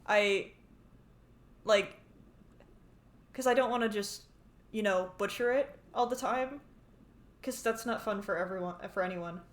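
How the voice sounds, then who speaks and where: A woman from Canada, chatting excitably and rambling while she live-streams a game. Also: medium pitch, unhurried, pauses often.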